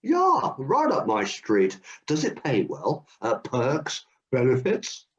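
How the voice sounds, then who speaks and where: A man's voice: medium pitch, huge pitch swings, takes it slowly. Guy doing hercules in a radio comedy, breezy and cocksure, swoopy voice, muffled lo-fi sound. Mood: breezy confident. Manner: cheerfully overconfident, matter-of-fact. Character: Hercules, strong, keen, job-hunting hero. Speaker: job seeker. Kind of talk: comic radio play acting. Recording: dull lo-fi audio.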